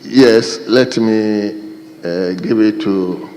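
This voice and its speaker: A man chairs the parliamentary session with extremely slow, drawn-out words in a fairly low voice. The long reverb of a large chamber surrounds him, with faint ambient noise beneath. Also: darkish tone. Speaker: presiding speaker. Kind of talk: chairing a debate.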